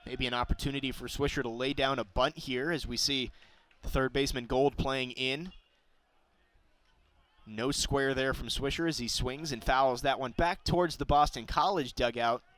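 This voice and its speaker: A young man calling a baseball game live as play-by-play announcer, describing each pitch and getting excited on big plays. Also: moderate pace. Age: young adult.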